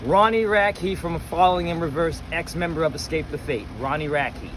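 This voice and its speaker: A man with a fairly high voice answers casually in a street interview. He is hesitant and feels his way into replies, with clear outdoor city and traffic noise behind him. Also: normal speed, a bit bright. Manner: hesitant, repeats questions before answering. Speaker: interviewee, music fan at a show. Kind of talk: casual chat.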